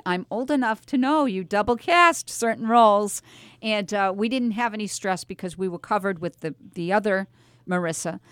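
A middle-aged woman hosts a relaxed, chatty interview with young performers. She is effusive and encouraging, speaking at an ordinary pitch. The sound is close and dry, like a studio. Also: no echo. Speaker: radio host and voice teacher interviewing young performers. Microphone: studio microphone with headsets.

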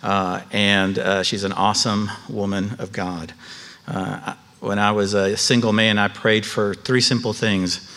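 A middle-aged man from Cuba, raised in the American South, with a Southern accent, preaching an earnest and personal sermon, often pausing on "uh". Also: lowish voice, flat delivery, pushed and bright, in a large hall. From Cuba, raised in Atlanta. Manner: earnest, personal, with frequent "uh" fillers. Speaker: pastor. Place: church sanctuary.

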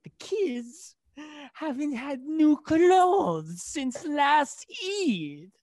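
Cruel taunting villain, gravelly edge. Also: male voice, very high voice, big pitch swings, very slow, darkish tone, no echo, full character voice. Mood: coldly demanding. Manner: sneering, taunting, commanding. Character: cruel, menacing male soldier, brutal taunting villain. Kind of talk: radio play acting.

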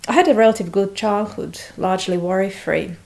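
A middle-aged woman from Bosnia, her first languages Serbian and Croatian, reading a prepared personal story in a measured way with occasional hesitations. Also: mid-range voice, vocal fry, a little mellow. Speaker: senior lecturer.

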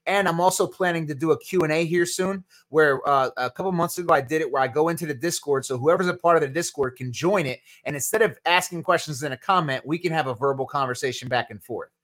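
A man's voice: fairly high pitch, fast talker, few pauses, fairly bright tone. Casual New Jersey man answering chat questions. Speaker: podcast host.

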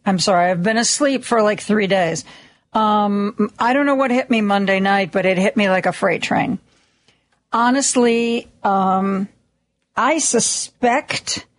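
Slow, slightly raspy woman radio host. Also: medium pitch, dry recording. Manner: chatty, self-deprecating, many ums and restarts. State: a little hoarse, lingering cough. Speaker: radio talk show host. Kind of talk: casual on-air monologue. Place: radio studio. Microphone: studio microphone.

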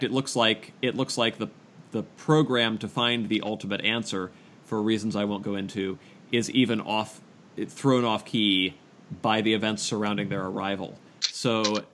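A man casually discussing books as a podcast co-host, halting and repeating phrases as he thinks. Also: lowish voice, fairly bright tone, a little hiss or hum.

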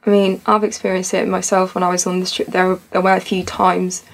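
A woman's voice: on the low side, little pitch movement, fairly quick, creaky, rough recording. A young woman from Tunisia, a student society founder, talking earnestly and reflectively in a podcast conversation.

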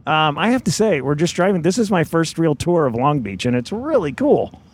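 A man's voice: fairly high pitch, sing-song, brisk, slightly rough. Laughing, teasing man chatting in a car. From Indiana. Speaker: podcast host. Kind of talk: casual chat. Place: inside a moving car.

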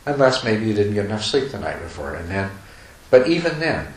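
A man giving a meditation talk to a retreat group, talking loosely with many restarts and soft chuckles. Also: on the low side, sing-song, a little fast. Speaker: meditation teacher. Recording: echoey room, faint noise.

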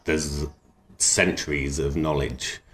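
A man's voice: very deep voice, little pitch movement, slow, a bit bright. A man, talking in an easygoing, rambling and enthusiastic way as an interview guest about his craft and cooking. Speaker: knifemaker and cook. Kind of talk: relaxed interview talk.

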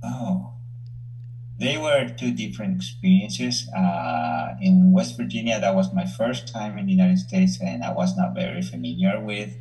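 Low-voiced Salvadoran professor. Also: male voice, sing-song, mellow, a little hiss or hum, rough recording. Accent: Salvadoran Spanish. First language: Spanish. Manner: measured, searches for words. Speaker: university professor, guest. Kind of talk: interview answers.

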